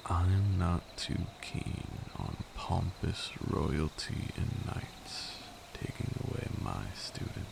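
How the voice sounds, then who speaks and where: A man's voice: background noise, exaggerated voice. A man playing an ancient, brooding vampire lord in an intimate ASMR roleplay, seductive and menacing, with an archaic, commanding way of talking. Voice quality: deep gravelly voice, breathy at times. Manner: archaic, deliberate, teasing and commanding.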